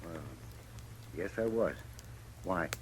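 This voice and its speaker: A man's voice: low voice, slow. An American actor playing an uneasy, hesitant saloon man in a 1950s radio western. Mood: guarded. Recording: noisy old radio recording with ambience.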